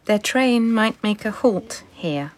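A woman's voice: mid-range voice, lively intonation, takes it slowly. An actress in a radio drama playing an anguished, bitter and volatile Soviet woman in love. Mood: wistful, bitter.

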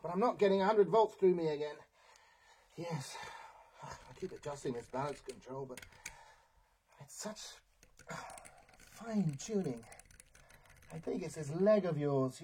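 A man playing a fussy, quick-tempered inventor who fiddles with his robot and barks orders at it. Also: a little high, lively intonation, darkish tone, slightly rough.

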